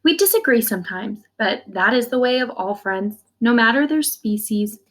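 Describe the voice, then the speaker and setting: Higher-voiced woman reading a story aloud. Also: expressive, moderate pace. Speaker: teacher reading a children's novel aloud. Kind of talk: read-aloud storytelling with brief explanations.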